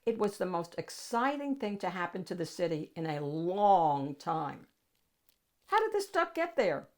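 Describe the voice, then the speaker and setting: A woman reading a picture book aloud as a storytime host, addressing young listeners with dramatic pauses and drawn-out key words. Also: on the low side, big pitch swings.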